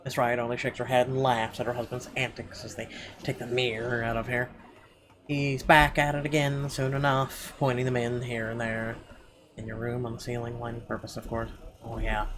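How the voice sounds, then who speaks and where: A male gaming host reads story text aloud and slips into casual commentary. His voice is mid-pitched, with some background noise on the recording. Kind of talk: reading game narration aloud with casual asides.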